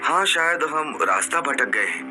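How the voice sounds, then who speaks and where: A man voicing a reassuring, polite father and husband in a horror cartoon, with expressive delivery. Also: a little high, normal speed, loud music behind the voice, low-quality recording. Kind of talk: Hindi cartoon voice acting.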